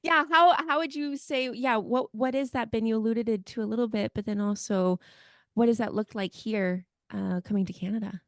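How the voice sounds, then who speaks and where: A woman's voice: on the high side, moderate pace, no echo, clean studio sound. An American woman co-hosting a casual podcast, telling family stories in a reflective way with easy fillers. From United States. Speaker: podcast co-host. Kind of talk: casual conversation.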